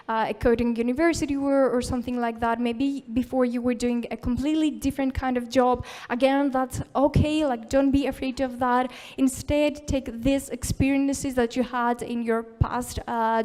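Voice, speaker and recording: A woman's voice: a little high, darkish tone. A woman speaking at a tech conference, encouraging and informal, with plenty of "like" and "uh" fillers. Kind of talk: live conference talk. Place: conference hall.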